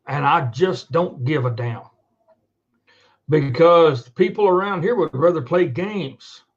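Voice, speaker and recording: A man with a slightly high voice rants off the cuff as host of his own online show. He is blunt and sweary, hammering points home by repeating them. The sound is dull and lo-fi. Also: measured pace. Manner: blunt, profane, emphatic, repetitive. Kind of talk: unscripted monologue rant to camera. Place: indoors at a computer.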